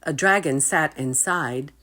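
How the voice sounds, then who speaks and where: A woman's voice: deep voice, unhurried, pushed and bright. A woman reading a storybook aloud to children, expressively, speaking straight to her listeners. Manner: engaging listeners with asides.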